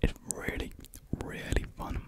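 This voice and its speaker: A young man whispers softly and breathily in a casual, rambling ASMR chat, very close to a desk condenser microphone. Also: measured pace. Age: young adult. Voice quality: soft breathy unvoiced whisper. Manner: rambling, informal. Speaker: ASMR creator. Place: small indoor room with painted block walls. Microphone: large desk condenser mic close to the mouth.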